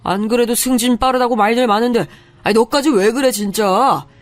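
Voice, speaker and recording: A man's voice: very high-pitched, a little fast, dry recording. A man playing a curt young husband. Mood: irritated. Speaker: husband character. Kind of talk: Korean radio story drama.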